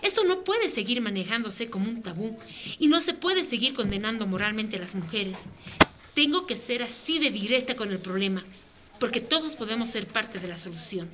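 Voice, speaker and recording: A woman's voice: fairly high pitch, lively intonation, moderate pace, background noise, lightly acted. A woman playing a direct, earnest women's rights advocate in a radio drama, speaking insistently. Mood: firm, persuasive.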